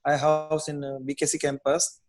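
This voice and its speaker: A man's voice: fairly high pitch, completely flat delivery, normal speed. A man, a graduate student introducing himself carefully. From India. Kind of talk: casual self-introduction.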